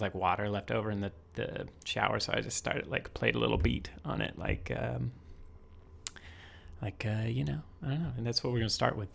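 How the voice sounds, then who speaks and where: A man chatting softly and casually on a live stream while making music, rambling with lots of fillers. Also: vocal fry. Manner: soft, low-key, rambling with many fillers.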